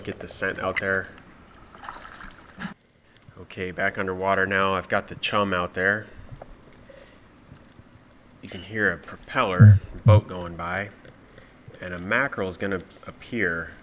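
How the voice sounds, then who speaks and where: A man with a cold and a stuffed-up nose, narrating his spearfishing dive footage in a relaxed, matter-of-fact way. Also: low voice, slight background noise. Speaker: spearfishing vlogger.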